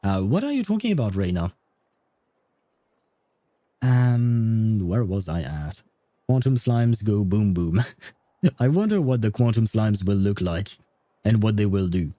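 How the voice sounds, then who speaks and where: A man's voice: on the low side, sing-song, a little slow, long pauses, mellow, dry recording. A man rambling casually on a game livestream, thinking aloud as he answers his viewers.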